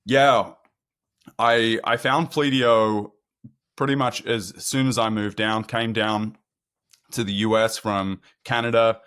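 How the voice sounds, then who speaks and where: A man's voice: on the low side, steady tone, slow, a bit bright, clear, resonant tone. A man in his thirties with an Australian accent, chatting as a guest on a podcast, friendly and often repeating words as he starts a thought. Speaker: guest, product marketing manager. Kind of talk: casual podcast interview.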